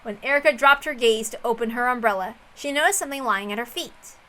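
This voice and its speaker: Female storyteller, high-pitched and bright, expressive scripted narration over light music. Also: fast, low-quality recording. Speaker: visual novel reader and narrator. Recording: music under the voice.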